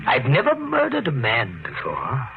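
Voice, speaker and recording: Theatrical, gloating radio-thriller killer. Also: male voice, mid-range voice, wildly swooping pitch, creaky, background noise, heavily acted. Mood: gloating, menacing. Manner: taunting, deliberate, theatrical. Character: sadistic, gloating homicidal maniac, man, cultured killer. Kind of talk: 1950s radio thriller acting. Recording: muffled old radio broadcast, music behind.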